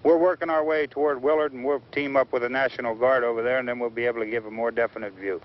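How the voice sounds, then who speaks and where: An actor playing a plainspoken, matter-of-fact American small-town sheriff, outdoors in an old film. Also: male voice, mid-range voice, steady tone, quick pace, natural voice. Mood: matter-of-fact, unfazed. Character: plainspoken, unfazed male small-town sheriff leading a posse. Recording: dull, noisy old outdoor film sound.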